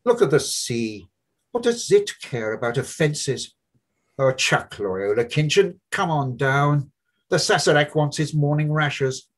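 A man voicing a brash, mocking young male medical student in a loud, high, husky voice. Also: animated, unhurried. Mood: coaxing.

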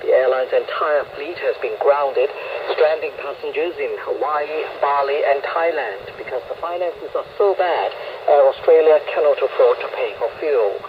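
A man's voice: medium pitch, normal speed. A man hosting a radio current affairs programme, reading scripted introductions and news. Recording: muffled, noisy shortwave radio broadcast.

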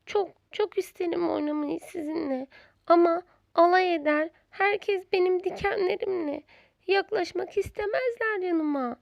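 Woman voicing a sad, high-pitched little hedgehog. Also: a little slow, creaky, dry recording, lo-fi sound, full character voice. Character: sad, shy little hedgehog afraid of being mocked.

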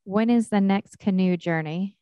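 A woman's voice: medium pitch, moderate pace, warm tone, smooth, dry recording. A woman moderating a question session, polite and procedural. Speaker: event moderator. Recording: dull, dry lo-fi audio.

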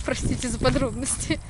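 A woman's voice: high-pitched, a little slow. Chatty woman with a stuffy nose. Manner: chatty, laughs often, asks questions. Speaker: vlogger filming her family. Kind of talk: casual family vlog chat. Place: outdoors in a summer garden and village lane. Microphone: handheld camera at arm's length. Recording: outdoor camera audio with noticeable background noise.

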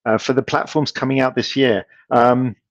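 A middle-aged man fields audience questions over a video call, talking in a relaxed, halting way full of ums and false starts. The audio is dry and a little dull, with no room sound. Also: medium pitch, dry recording. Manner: relaxed, hesitant, many ums and restarts, laughs easily. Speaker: company speaker answering audience questions. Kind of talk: casual conference Q&A.

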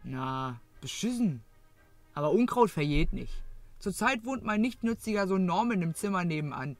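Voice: medium pitch, lively intonation, moderate pace, faint music behind, natural voice. A performer playing a coarse, jaded working-class mother, grumbling bluntly in a parody audio drama.

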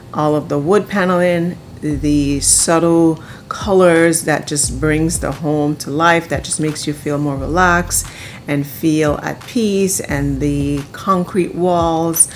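A woman with a low-pitched voice talks as a house tour host. Her delivery is casual and enthusiastic, like someone showing a place to her vlog followers. Music plays along under her words.

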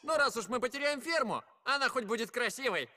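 A man's voice: very high voice, expressive, fairly quick, over loud music. A man voicing an eccentric, commanding mentor bird in a cartoon film dub, bossy and teasing.

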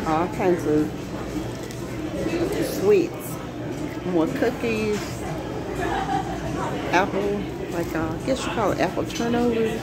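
A woman giving a casual food tour as a vlogger, listing items in an unhurried, enthusiastic way on a handheld phone. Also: medium pitch. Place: large indoor buffet restaurant. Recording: loud busy restaurant crowd noise. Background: loud crowd and dining room clatter.

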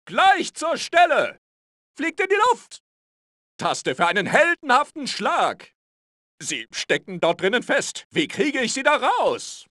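Pompous cartoon space hero for a video game, high bright voice, bragging and bumbling. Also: male voice, no room sound, studio quality, heavily acted. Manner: pompous, boastful, stammering when caught out. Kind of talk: theatrical cartoon game acting.

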